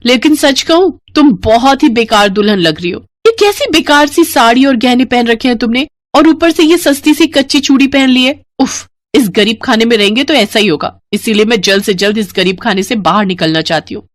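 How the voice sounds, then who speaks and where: A woman voicing a haughty, spoiled young sister, higher-pitched. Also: a little fast, hardly any pauses, no echo, natural voice. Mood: haughty disgust. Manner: haughty, whiny, disdainful. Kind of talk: melodramatic storybook character acting.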